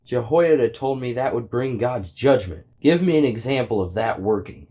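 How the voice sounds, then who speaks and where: A young, unsure king, acted by a man in a Bible radio play. He speaks in a mellow, dark tone with lively pitch, hesitant and full of questions. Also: moderate pace, breathy edge. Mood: doubtful. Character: young, unsure, easily swayed king of Judah. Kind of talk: dramatized Bible audio drama.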